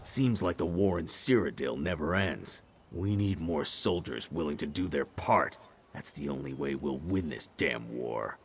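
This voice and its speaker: An actor playing a grim, war-weary orc army recruiter in a video game, gruff in manner. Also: male voice, a bass voice, lively intonation, unhurried, a little hiss or hum, full character voice.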